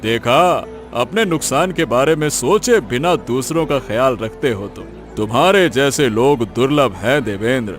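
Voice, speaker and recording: A man's voice: mid-range voice, expressive, normal speed, hardly any pauses, soft background music, close to an everyday voice. A man voicing a hearty village headman in a cartoon story, effusive and declarative in his praise. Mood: admiring. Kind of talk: scripted cartoon story acting.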